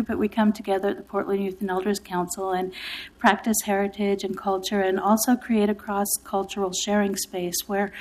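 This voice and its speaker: A woman's voice: mid-range voice, darkish tone, low-quality recording. Woman, community advocate, public testimony, earnest and grateful, sore throat. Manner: grateful, earnest, speaks with pauses and fillers. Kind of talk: public testimony at a city meeting.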